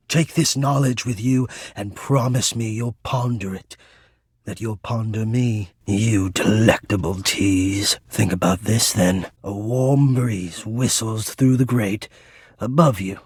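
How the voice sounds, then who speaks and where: A man's voice: medium pitch, husky, dry recording, studio quality. An actor voicing a lovesick, anxious male spirit of the air vents in a video game, with intense, theatrical and rather formal delivery. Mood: pleading, intense, then slow, sensual. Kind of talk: dramatic romantic video game voice acting.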